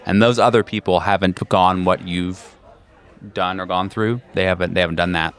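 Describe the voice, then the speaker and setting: A man's voice: sits low, fairly even intonation, moderate pace, fairly bright tone. A man narrating a tabletop horror game as its game master and host.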